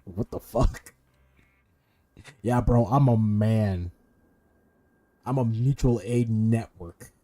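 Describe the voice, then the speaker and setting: A man's voice: fairly low pitch, slow, pauses often, dark tone. A young man giving casual gaming commentary, joking and slangy, sometimes reading game text aloud. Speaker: game streamer.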